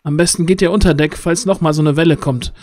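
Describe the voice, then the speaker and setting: A man playing a tabletop roleplaying game, improvising in a halting, agitated way and often repeating himself. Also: fairly high pitch, steady tone, crowd noise. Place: indoor home, video call. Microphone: headset.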